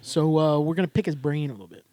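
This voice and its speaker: A man co-hosts a casual podcast and tells animated stories, slipping into quick impressions. His voice is a touch higher than average, recorded dry. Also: dry recording. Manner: animated storyteller, mimics people. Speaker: podcast co-host. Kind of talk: casual chat.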